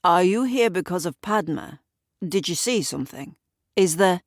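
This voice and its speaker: A woman's voice: lowish voice, animated, moderate pace, dry recording, clean studio sound. Woman playing a guarded, intense man. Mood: urgent. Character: terse, intense man straining to be gracious.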